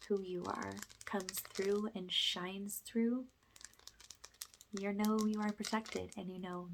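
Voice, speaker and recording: Soft breathy woman doing asmr healing, really slow, pretty flat pitch, bit of background noise. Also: poor audio. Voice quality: soft, breathy, often whispered. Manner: slow, gentle, soothing guidance. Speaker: ASMR energy healer, host. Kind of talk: ASMR guided spiritual healing session.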